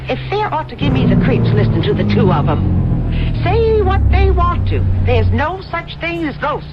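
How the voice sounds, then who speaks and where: A woman's voice: very high-pitched, big pitch swings, normal speed. A woman acting a down-to-earth, skeptical innkeeper's wife in an old-time radio mystery, folksy and scolding. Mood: scolding, dismissive. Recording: muffled old radio broadcast under engine noise.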